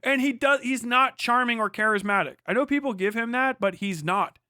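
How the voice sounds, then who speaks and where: Bright-voiced, foul-mouthed male livestreamer. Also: very high voice, a little fast, no room sound, clean studio sound. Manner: profane, blunt, slangy. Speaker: livestream host. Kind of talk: casual political livestream commentary, replying to chat.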